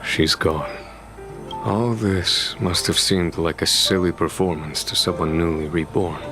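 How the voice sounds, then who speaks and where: A man playing a composed, gentle, wise general in a video game, speaking in a calm, measured, reflective way. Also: low-pitched. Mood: reflective, consoling. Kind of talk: dramatic video game voice acting. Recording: background music over game dialogue.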